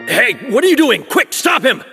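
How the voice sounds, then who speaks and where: A man's voice: very high-pitched, very animated, normal speed, creaky, bright, forceful tone, soft background music, full character voice. A man playing a harsh, impatient, commanding guard in a video game. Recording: long hall reverb.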